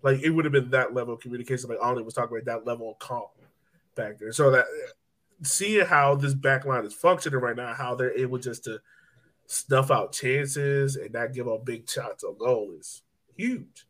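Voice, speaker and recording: A man hosts a casual sports podcast at an ordinary pitch. He talks conversationally, like he is chatting with friends, and peppers his sentences with "like" and "you know". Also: moderate pace, a bit bright. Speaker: soccer podcast host.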